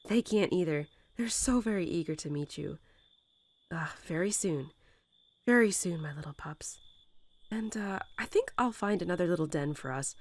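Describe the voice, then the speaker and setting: A woman's voice: fairly low pitch, lively intonation. A woman playing a young mother wolf who talks tenderly and without hurry to her newborn pups, in the style of a bedtime roleplay audio. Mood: loving, reassuring. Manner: tender, unhurried, softly chuckling, hesitant informal phrasing. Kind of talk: soothing bedtime roleplay audio, intimate storytelling.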